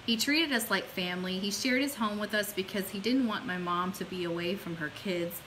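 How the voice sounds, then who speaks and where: A woman's voice: mid-range voice, moderate pace, low-quality recording. A middle-aged woman hosting an online show in a chatty, informal, enthusiastic way, partly reading aloud. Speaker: host of an online radio show. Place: small room indoors at home or office. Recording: steady background hiss.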